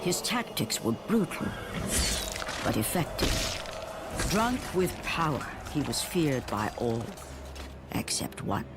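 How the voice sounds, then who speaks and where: Over loud music, a solemn storyteller narrates an epic game. The voice sits a little high for a man, grave and theatrical, full of foreboding. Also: sing-song, takes it slowly, long pauses, full character voice. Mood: solemn, ominous. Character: solemn, ominous storyteller of an ancient Greek tragedy. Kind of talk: dramatic scripted game narration.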